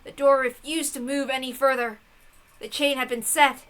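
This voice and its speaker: A woman giving a dramatic, theatrical narrated reading of a story in an expressive manner. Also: high voice, normal speed. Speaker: reader of a visual novel.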